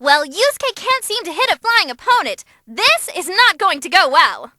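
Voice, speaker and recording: Squeaky, excitable young demon girl announcer. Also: normal speed, dry recording, studio quality, exaggerated voice. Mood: worried. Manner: bubbly, cheeky, dramatic. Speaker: fight commentator. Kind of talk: animated fight commentary.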